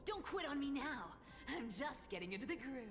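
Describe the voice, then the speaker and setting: A woman's voice: high-pitched, very animated, a little fast. A woman voicing a playful, cocky cat thief in a video game, theatrically teasing with whispers and giggles. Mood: exhilarated, teasing. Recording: loud game music behind. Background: game music.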